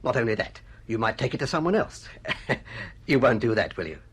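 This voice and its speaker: An actor in a radio drama playing a middle-aged film producer, husky, shrewd and persuasive, with clipped, emphatic sales patter. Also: male voice, medium pitch, very animated, fairly quick. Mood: persuasive, jovial.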